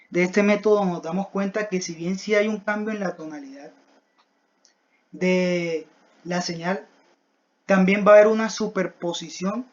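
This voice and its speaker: A young student presents a technical class project with a mid-pitched voice. The delivery is didactic and halting, moving step by step through prepared material. The recording is dull and lo-fi. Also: a little mellow. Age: young adult.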